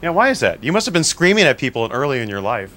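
A man's voice: mid-range voice, very animated, fast talker, fairly bright tone. A man hosting a music show interview, joking and teasing his guests. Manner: jokey, teasing, laughs at own jokes. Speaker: TV show host.

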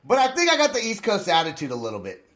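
A man's voice: sits high, huge pitch swings, fast, a bit bright. A man from California hosting a podcast, chatting casually and joking, with frequent laughs and repeated words. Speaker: podcast host. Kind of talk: casual chat.

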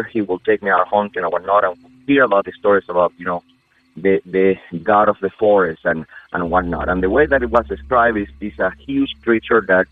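A man's voice: lowish voice, no echo. A man from Venezuela with a Venezuelan Spanish accent, a caller earnestly telling a story in a conversational way over the phone. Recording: tinny phone line.

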